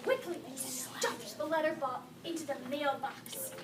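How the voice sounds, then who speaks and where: A woman teacher reading a picture book aloud to young children, expressive, pausing to explain words and ask questions. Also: sits high. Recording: echoey noisy room from far off.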